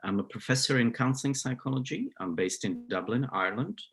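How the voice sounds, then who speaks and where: A man's voice: medium pitch, a little fast, fairly bright tone. A middle-aged man from Slovakia with a Slovak accent, giving a hesitant recorded lecture as a psychology professor, with many fillers and restarts.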